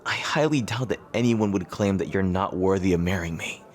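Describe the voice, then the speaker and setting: A man playing an earnest, lovestruck rival king in an intimate romantic audio roleplay, speaking haltingly and hesitantly. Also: deep voice, lightly acted. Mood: admiring.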